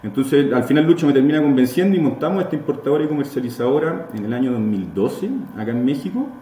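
A man's voice: medium pitch, lively intonation, fast, dark tone. A man in his thirties with a Chilean accent, chatting as an interview guest and telling his story in a loose, repetitive way. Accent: Chilean Spanish. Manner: chatty, repeats words while building a story. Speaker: guest, entrepreneur. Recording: roomy echo, faint background noise.

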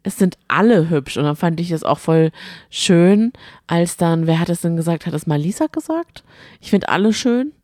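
A woman's voice: lowish voice, animated, moderate pace, darkish tone, no room sound, studio quality. A woman co-hosting a podcast in casual chat, speaking colloquially and with emphatic opinions. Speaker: podcast co-host. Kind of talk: casual chat about a reality show.

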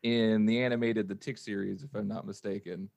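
A man's voice: on the low side, little pitch movement, a little fast, vocal fry, a little mellow, dry recording. An adult man talking casually on a podcast, telling stories in an informal, chatty way. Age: adult with a young child. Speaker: podcast guest and voice actor. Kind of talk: casual chat.

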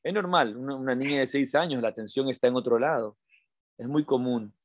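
A man's voice: medium pitch, a little fast, dry recording. A man teaching meditation and attention in an informal talk, didactic and repeating his phrases for emphasis. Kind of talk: informal spoken teaching to an online audience.

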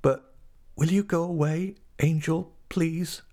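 Man voicing a distraught young woman, pitched up high. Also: fairly even intonation, dark tone. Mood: despairing, pleading. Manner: impassioned, repetitive, halting pleas. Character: distraught young woman, bitter and pleading, anguished. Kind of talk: dramatised audiobook reading of a classic novel.

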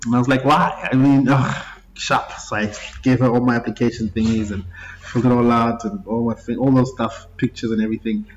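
Relaxed man in his thirties. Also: mid-range voice, brisk, dull audio, a little hiss or hum. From Zimbabwe. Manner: relaxed, laughs easily, self-correcting. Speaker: guest musician. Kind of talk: casual interview talk.

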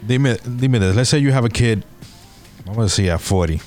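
A man's voice: medium pitch, fairly quick, darkish tone, soft background music. A man co-hosting a podcast, chatting in an easygoing way and asking questions. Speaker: podcast co-host. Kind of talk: casual chat.

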